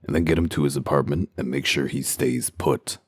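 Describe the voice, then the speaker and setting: A man voicing a gruff, sardonic werewolf detective in a comic dub, with a growling, commanding delivery. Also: fairly even intonation, brisk, no room sound. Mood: dry sarcasm. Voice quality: very deep, gravelly growl. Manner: dry, sarcastic, commanding.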